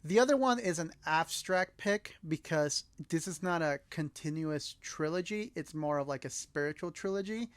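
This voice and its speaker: A man's voice: on the high side, lively intonation, normal speed, hardly any pauses, no echo. A man from El Salvador, a native Spanish speaker, co-hosting a podcast and casually discussing films with earnest enthusiasm. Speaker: podcast co-host.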